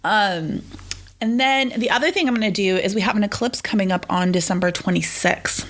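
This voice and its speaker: A woman's voice: mid-range voice, fairly quick, a bit bright. A middle-aged woman hosting a casual solo podcast, sick and sniffly, chatting in a rambling way with many fillers. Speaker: podcast host.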